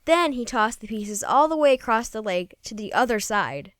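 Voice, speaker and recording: A woman reading a children's story aloud in an expressive, folksy first-person storytelling manner. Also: a little high, moderate pace, dry recording, clean studio sound. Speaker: storybook reader.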